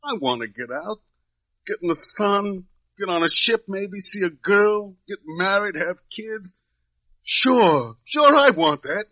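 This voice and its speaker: Jailed drifter, pleading, resigned, unschooled folksy speech, bright tense tone, dry dull vintage radio sound. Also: male voice, high voice, lively intonation, slow, no echo, full character voice. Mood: anguished, pleading. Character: despairing wrongly jailed young drifter, pleading, plain-spoken. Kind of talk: 1950s radio drama acting. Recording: dull lo-fi old radio recording.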